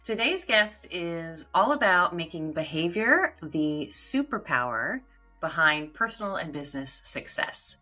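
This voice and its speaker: A woman's voice: lowish voice, sing-song, measured pace, bright, forceful tone, faint music behind. A woman hosting a podcast, upbeat and enthusiastic, reading a scripted intro and then chatting with her guest. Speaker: podcast host.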